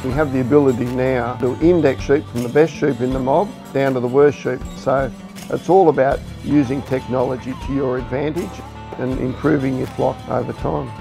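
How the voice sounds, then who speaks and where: Australian farmer over clear music. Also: male voice, medium pitch, steady tone, moderate pace. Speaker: sheep farmer and mayor. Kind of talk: documentary interview. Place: open farm paddock, outdoors.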